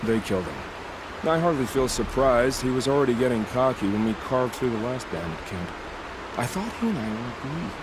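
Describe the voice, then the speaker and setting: An actor playing a cocky ex-soldier mercenary in a video game, who savors killing for sport in a smug, theatrical way. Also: male voice, medium pitch, big pitch swings, moderate pace, background noise, lightly acted. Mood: smug, bloodthirsty. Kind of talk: video game character acting.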